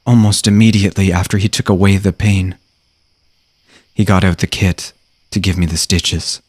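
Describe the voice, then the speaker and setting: A man's voice: low-pitched, steady tone, moderate pace, a little mellow, slightly rough, no room sound. A man reading a first-person horror story with an emotional, dramatic delivery. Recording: music behind the voice. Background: music.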